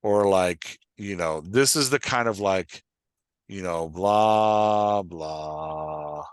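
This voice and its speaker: A man's voice: sits low, drawn-out words, clean tone, dry recording, studio quality. A man talking conversationally and emphatically as a host and coach, giving advice with acted-out examples. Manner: emphatic, acts out example phrases.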